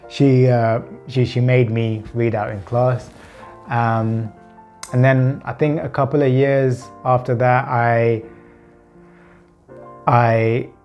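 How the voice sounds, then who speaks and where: A man's voice: mid-range voice, a little mellow, dull audio. A man in his thirties talking to camera in a personal vlog, earnest and open, stammering with repeated words and blocks left unedited. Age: 30s. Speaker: vlogger.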